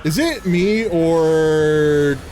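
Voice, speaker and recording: A man in his thirties, a game streamer giving casual, excitable, jokey commentary while playing and laughing often. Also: on the high side, very slow, soft background music. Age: 30s. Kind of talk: casual live gameplay commentary.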